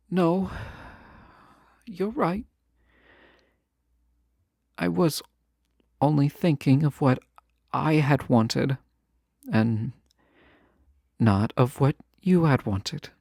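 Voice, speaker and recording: A man playing a lovesick, proud fantasy ruler in a romantic audio drama, speaking formally, earnestly and with hesitant pauses. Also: fairly high pitch, gravelly, darkish tone, no echo, studio quality, full character voice. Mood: regretful, hesitant. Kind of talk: scripted romantic audio roleplay, close and intimate.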